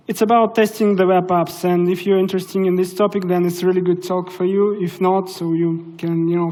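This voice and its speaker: A man's voice: sits high, darkish tone. Young man with a Russian accent, casual and hesitant, presenting at a tech conference. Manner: casual, hesitant, many fillers. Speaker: conference speaker. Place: conference hall.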